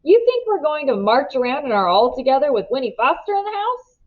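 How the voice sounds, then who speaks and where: A woman's voice: high voice, big pitch swings, brisk, pitched above the performer's own voice. Woman voicing a cocky teen farm boy, eager, boastful, dull lo-fi sound. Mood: teasing.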